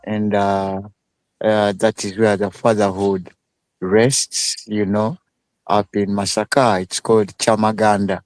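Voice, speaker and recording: A man from Uganda who speaks as a guest in a casual live interview. He talks slowly and haltingly, with many fillers. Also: fairly low pitch, level tone, no echo, poor audio.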